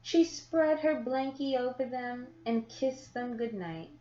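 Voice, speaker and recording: A woman reading a children's picture book aloud, with deliberate, expressive phrasing and drawn-out words for young listeners. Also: sits high. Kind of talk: children's story read-aloud. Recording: echoey room, slightly muffled sound.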